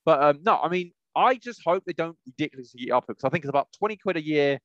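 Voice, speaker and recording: A man's voice: a little high, animated, quick pace, no echo, muffled recording. A British man co-hosting a podcast in casual chat, rambling and often correcting himself as he goes. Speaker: podcast co-host.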